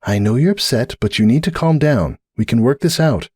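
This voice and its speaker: A man voicing a calm, assertive female unicorn trying to settle a quarrel. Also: mid-range voice, fairly quick, breathy edge, no echo, clean studio sound, voice pushed up. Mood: placating. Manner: firm, placating.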